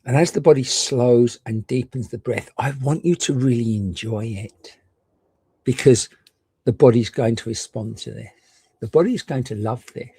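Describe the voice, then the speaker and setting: A man gently and reassuringly guiding a meditation, leaving unhurried pauses between short phrases. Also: mid-range voice, breathy edge, dry recording. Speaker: meditation guide. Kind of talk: guided meditation.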